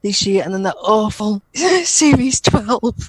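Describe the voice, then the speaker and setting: A woman's voice: medium pitch, expressive, slow, husky. A British woman co-hosting a fan podcast, talking casually and thoughtfully, with some hedging. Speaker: podcast co-host.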